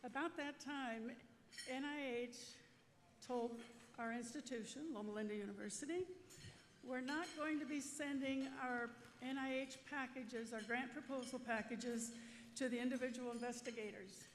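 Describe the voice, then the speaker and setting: A woman's voice: high-pitched, moderate pace, slight background noise. Distant woman speaker in a reverberant hall. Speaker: invited speaker. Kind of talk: conference speech. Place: conference hall.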